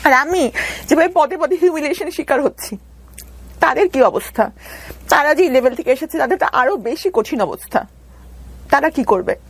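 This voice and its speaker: A woman's voice: high-pitched, animated, moderate pace, pauses often. A Bangladeshi woman telling her own story as an interview guest, earnest and explanatory.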